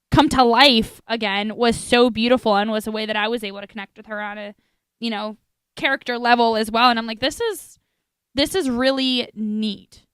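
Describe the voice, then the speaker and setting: A woman's voice: on the high side, bright, forceful tone, clean tone, dry recording. A young adult woman co-hosting a casual podcast, chatty and playfully teasing. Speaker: podcast co-host.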